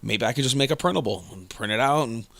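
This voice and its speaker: A man's voice: mid-range voice, animated, fast talker, creaky, fairly bright tone. A man co-hosting a podcast, chatting casually and rambling with many fillers and restarts. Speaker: podcast co-host. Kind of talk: casual chat.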